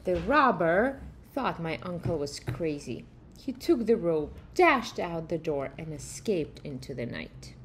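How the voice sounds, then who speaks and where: A middle-aged woman reading a children's story aloud in an expressive storytelling style, with deliberate phrasing. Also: on the low side, slight background noise. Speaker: reader of a children's picture book. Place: room at home, indoors.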